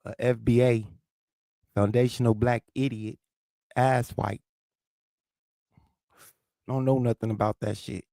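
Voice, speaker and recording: Man, lisp, St. Louis accent, gravelly, mid-pitched, dry close sound, combative livestream host. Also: flat delivery, slow pace, long pauses, mellow, no room sound. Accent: St. Louis, American South Midwest. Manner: confrontational, profane, addresses chat commenters directly. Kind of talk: heated livestream rant, answering chat.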